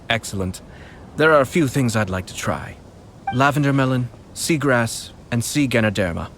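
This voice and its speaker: An actor playing a polite and playful young male noble commissioner in a game, speaking in a measured way. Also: mid-range voice, expressive, slight background noise. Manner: courteous, measured, with soft amused laughs. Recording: game audio with clear music behind.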